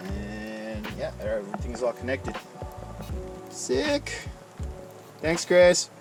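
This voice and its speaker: A man's voice: medium pitch, wildly swooping pitch, takes it slowly, creaky, music in the background. A man hosting a casual vlog in jokey, slangy bro talk. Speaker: vlog host filming a truck build.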